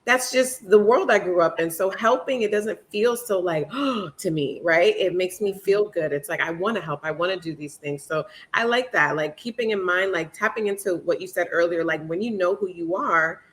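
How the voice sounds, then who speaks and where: A woman's voice: fairly low pitch, normal speed, few pauses. A woman from Jamaica, co-hosting a podcast in casual chat, talking freely with many "like" fillers.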